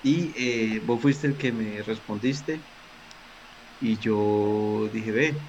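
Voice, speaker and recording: A man's voice: fairly low pitch, extremely slow, highs cut off, a little hiss or hum. A man with a Colombian accent from Cali, a podcast guest chatting casually in a friendly, slangy way over a remote call.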